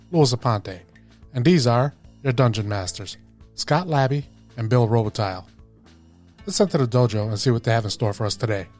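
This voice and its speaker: A man's voice: medium pitch, a little mellow. A middle-aged man hosting a podcast, moving between introductions and casual chat. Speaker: podcast host.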